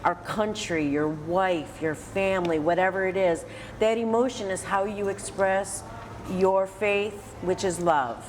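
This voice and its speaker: A woman's voice: mid-range voice, unhurried, a little hiss or hum. An American woman hosting an interview, encouraging her guest and restating what is said. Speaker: interviewer and host. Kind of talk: interview at a senior center, prompting and recapping.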